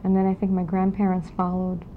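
A woman in her forties from Los Angeles, recalling her family history in an oral history interview, speaking in a reflective, halting way with many pauses. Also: fairly low pitch, completely flat delivery. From Los Angeles, California. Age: 40s. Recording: dull lo-fi 1992 tape with faint hiss.

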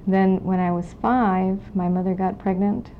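A woman in her forties from Los Angeles recalls her family history slowly, with many pauses and fillers. Her voice sits low and flat, with a gravelly fry. It comes through dull, lo-fi tape with a faint hiss. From Los Angeles, California. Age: 40s. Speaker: oral history interviewee. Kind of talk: recorded oral history interview.

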